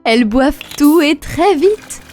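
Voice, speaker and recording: A storyteller narrating a scripted children's cartoon in expressive, sing-song rhymes. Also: sits high, measured pace. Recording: music behind.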